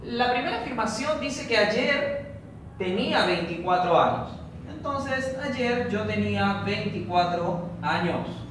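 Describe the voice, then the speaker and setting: Man teaching, slow and methodical, distant mic, very echoey room, background noise. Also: sits high, lively intonation. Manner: slow, methodical, explains step by step. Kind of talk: recorded online training lesson.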